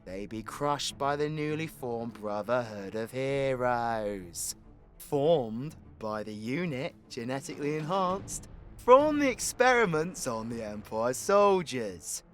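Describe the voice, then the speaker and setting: Animated, cocky British supervillain played by a man. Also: mid-range voice, takes it slowly, soft background music. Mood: storytelling, wry. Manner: cheeky, sweary, colloquial, theatrical swings into exclamation. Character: cocky, foul-mouthed, sarcastic British male supervillain telling history. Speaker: audio roleplay voice actor. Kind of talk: villain audio roleplay, rambling comedic storytelling.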